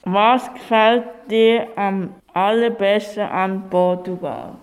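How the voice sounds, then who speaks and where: An interviewer asking simple questions in a slow, deliberate way. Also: mid-range voice, fairly even intonation. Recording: long reverb.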